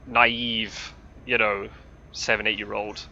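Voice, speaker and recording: A man's voice: fairly low pitch, level tone, slow, gravelly, pushed and bright, lo-fi sound, a little hiss or hum. A man in his twenties with a mixed English and Scottish accent, chatting casually while he drives a truck and rambling through lots of fillers and restarts. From Scotland. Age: 20s. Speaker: vlogger. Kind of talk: casual chat while driving. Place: inside a truck cab.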